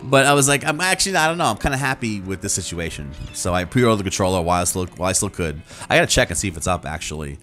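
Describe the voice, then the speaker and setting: A man hosting a gaming channel, talking casually and off the cuff in a rambling, halting way with repeated words. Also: low voice, big pitch swings, a bit bright, faint music behind. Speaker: YouTube gaming channel host. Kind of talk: casual, unscripted chat to viewers.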